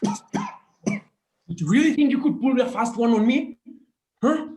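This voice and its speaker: A man's voice: extremely high pitch, wildly swooping pitch, takes it slowly, a little mellow, exaggerated voice. A man playing a menacing, gloating street thug in a screenplay table read, taunting and dropping into Spanish. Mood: gloating menace.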